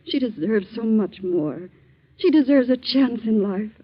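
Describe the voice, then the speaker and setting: A woman's voice: fairly high pitch, animated, unhurried, breathy edge. Weary pleading mother, thin old radio sound. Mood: desperate pleading. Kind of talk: 1940s radio drama acting. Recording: muffled, scratchy 1940s radio broadcast.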